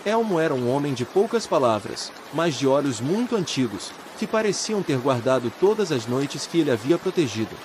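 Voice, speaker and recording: A male narrator with a mid-range voice tells a sleep story. His delivery is gentle, measured and soothing. Clearly audible rain and running water sit behind his words. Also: medium pitch, noisy background. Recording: loud steady rain and water ambience. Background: rain sounds.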